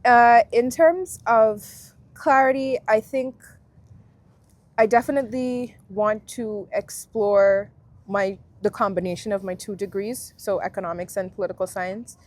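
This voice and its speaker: A woman in her twenties from Guyana, a university alumna, talking through her experiences in a relaxed, reflective way as an interview guest. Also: mid-range voice, a little slow. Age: 20s. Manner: reflective, friendly, thinks aloud with fillers. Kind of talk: casual interview chat.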